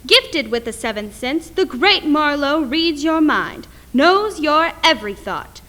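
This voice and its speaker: Woman as a bright, grandiose nightclub announcer. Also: high voice, sing-song, a little slow, exaggerated voice. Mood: showy. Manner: theatrical, proclaiming. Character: grandiose nightclub announcer hyping a mind reader. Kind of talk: radio play stage announcing.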